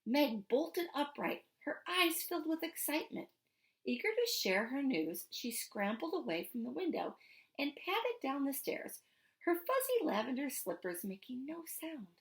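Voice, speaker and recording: Woman reading a storybook, high animated voice. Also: unhurried, rough recording. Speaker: teacher reading a children's book aloud. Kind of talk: expressive storybook read-aloud.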